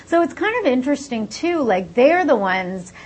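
A woman's voice: sits high, very animated, normal speed, husky. A woman, born in India and raised in the United States, explaining her research fluently in a casual interview with many "like" fillers.

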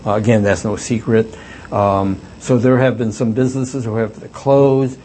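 A man's voice: lowish voice, fairly even intonation, normal speed, mellow, a little hiss or hum. Hesitant older man telling stories. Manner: reflective, hesitant storytelling with many fillers. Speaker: author and former reporter. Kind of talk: book talk with audience questions.

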